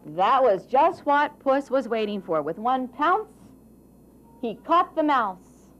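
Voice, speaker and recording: A woman narrating a children's storybook tale for a puppet show with measured, dramatic phrasing. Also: high voice, sing-song, highs cut off.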